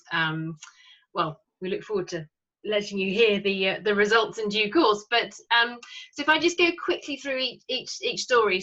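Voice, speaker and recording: A woman's voice: mid-range voice, sharp tone. A woman asking informal interview questions, restarting them as she goes, on slightly lo-fi audio.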